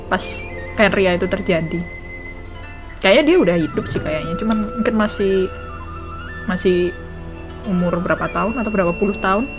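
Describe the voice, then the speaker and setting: A woman's voice: medium pitch, poor audio. A woman gaming streamer with an Indonesian accent. She comments casually on what she plays in a mid-range voice, with faint game music underneath. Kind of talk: casual playthrough commentary mixing Indonesian and English.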